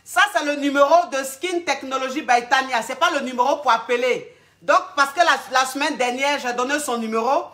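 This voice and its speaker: A woman coach talks straight to her callers and keeps calling them darling. Her voice sits high and rings bright and clear. She sounds blunt but motherly, and firm when she makes a point. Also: brisk. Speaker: relationship coach answering callers. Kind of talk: live call-in advice.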